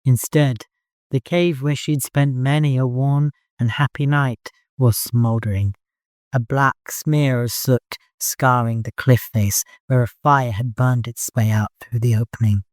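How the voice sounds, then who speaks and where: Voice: mid-range voice, steady tone, unhurried, darkish tone, no echo, studio quality. A narrator reading literary fiction from a script as an audiobook.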